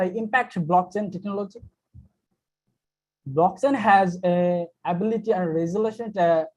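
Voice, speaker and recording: A man from Bangladesh, speaking haltingly on an online call and pausing often to search for words. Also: high voice, a little mellow. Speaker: meeting participant. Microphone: video call. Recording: dull lo-fi video call audio.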